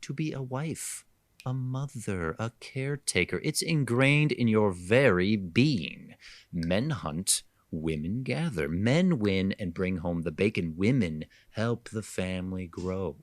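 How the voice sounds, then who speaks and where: A menacing, pompous male kidnapper from a horror radio play acted by a man. He preaches with genteel condescension, taking his time, his pitch rising and falling widely in a very dry recording. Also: lively intonation, slow, no room sound. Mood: patronising. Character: menacing male kidnapper, pompous, patronising, fixated on obedient wives. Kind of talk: horror radio drama acting.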